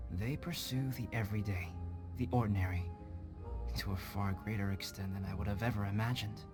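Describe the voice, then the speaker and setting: A low, composed young man, as a male actor plays him, speaking like a thoughtful alchemist in a fantasy game. He explains things in measured, articulate phrases, with prominent music playing behind him. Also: natural voice. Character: calm, scholarly young male alchemist, artificially created human. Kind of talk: scripted English video game voice acting.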